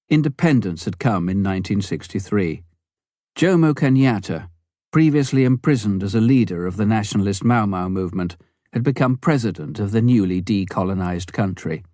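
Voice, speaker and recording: A man narrating a written memoir as an audiobook reader, in a measured, deliberate way. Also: on the low side, darkish tone, no echo, clean studio sound.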